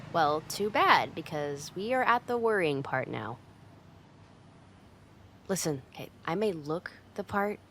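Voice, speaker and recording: A woman's voice: deep voice, slight background noise. A woman playing a tough but secretly caring delinquent girl in an ASMR roleplay, talking bluntly and teasingly to the listener. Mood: gruff concern. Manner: blunt, teasing, streetwise, with hesitant softening.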